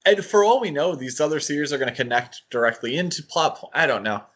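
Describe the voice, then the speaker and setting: A man's voice: a little high, expressive, fast talker, a bit bright. A man hosting a podcast in a casual, conversational chat style. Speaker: podcast host.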